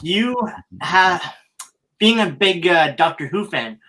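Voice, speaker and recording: A man asking questions casually, with a halting delivery. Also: high voice, lively intonation, pushed and bright. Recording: slightly distant from the mic.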